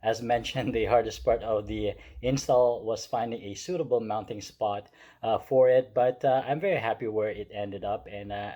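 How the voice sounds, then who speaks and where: A man hosting a DIY video, talking viewers through steps casually with halting, hesitant phrasing. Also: mid-range voice, dark tone, dry recording, muffled recording. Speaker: DIY car modification video host. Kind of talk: casual step-by-step tutorial talk.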